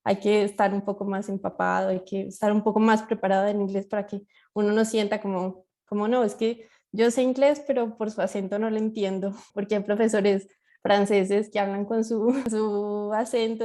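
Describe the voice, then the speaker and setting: A young woman from Colombia shares her experiences in a relaxed, explanatory way, with frequent fillers and tag questions. Her voice is mellow, and her pitch stays fairly flat. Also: normal speed. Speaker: panel guest, master's student. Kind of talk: casual panel talk.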